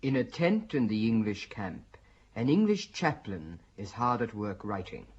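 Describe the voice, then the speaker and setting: A man narrating the scene-setting for a radio drama in a measured, descriptive way. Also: on the low side, big pitch swings, husky, highs cut off, heavily acted.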